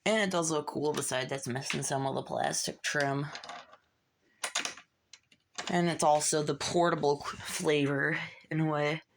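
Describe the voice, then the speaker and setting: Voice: mid-range voice, steady tone, measured pace, pauses often, noisy background. A hobbyist narrating a hands-on repair casually and informally, muttering asides while working. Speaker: hobbyist repairer showing a fix.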